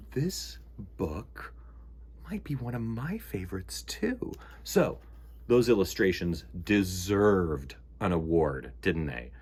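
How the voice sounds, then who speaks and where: A man hosting storytime for children, reading and chatting expressively with dramatic pauses and a husky voice. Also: on the low side, slight background noise.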